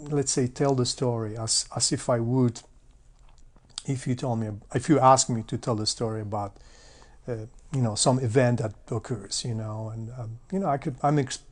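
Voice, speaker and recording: Man, mid-pitched, reflective interview guest who pauses to find his words. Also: darkish tone. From Poland. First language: Polish. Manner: thoughtful, searching for words. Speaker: guest, bassist. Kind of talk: casual interview talk.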